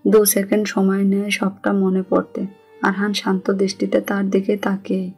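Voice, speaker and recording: A woman's voice: medium pitch, monotone, moderate pace, a little mellow, husky. A woman with a Bangladeshi accent reading scripted narration of a romantic story. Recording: music under the voice.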